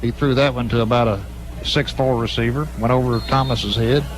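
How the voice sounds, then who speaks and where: A man's voice: mid-range voice, steady tone, a little fast, slight background noise, poor audio. A man announcing live football play-by-play on the radio in clipped, running phrases. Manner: clipped, present-tense running description. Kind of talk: live radio sports commentary.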